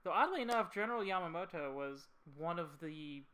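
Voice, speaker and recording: A man giving casual, slightly halting commentary as host of a video about an old film. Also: fairly high pitch, animated, bright, forceful tone.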